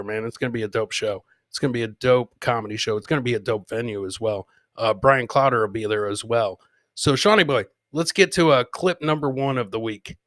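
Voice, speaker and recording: Bright, forceful male podcast host. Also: a little high, no room sound, clean studio sound. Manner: slangy, repeats himself, addresses listeners directly. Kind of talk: casual live chat with viewers.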